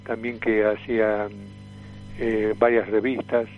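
A man's voice: lowish voice, level tone, faint music behind. Elderly Argentine man reminiscing, slightly muffled sound. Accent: Rioplatense Argentine. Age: 70s or older. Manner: rambling, halting, with repeated filler words. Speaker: guest, veteran cartoonist, impressionist and tango singer. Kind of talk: reminiscing in a radio interview.

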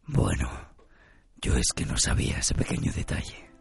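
Radio drama spirit: extremely gravelly, husky, swooping delivery, dryly sheepish. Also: male voice, rumbling low, big pitch swings, moderate pace, no room sound, heavily acted. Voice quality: gravelly, raspy. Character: low, rasping death spirit, apologetic and dryly amused.

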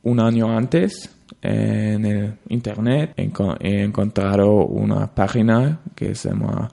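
A young man with a German accent, speaking Spanish hesitantly, pausing often to find his words. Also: fairly low pitch, fairly even intonation, gravelly, mellow. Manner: hesitant, searching for words with many ums. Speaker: interviewee, intern.

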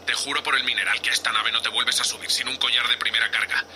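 A testy spaceship captain acted by a man from a Spanish sci-fi audio drama, with a Spain accent. He is curt, scolding and exasperated, with a mid-pitched, very forceful and sharp delivery. There is light ambient noise around him. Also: level tone, fast, rough recording. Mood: exasperated, grim. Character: gruff, foul-mouthed, irritable male spaceship captain.

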